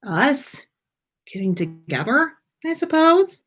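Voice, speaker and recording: Storybook schoolboy, read by a woman: awkward, gentle, exasperated, slow and hesitant. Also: high-pitched, very animated, slightly rough, pitched above the performer's own voice, heavily acted. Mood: embarrassed. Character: teenage wizard boy, awkward, exasperated but gentle.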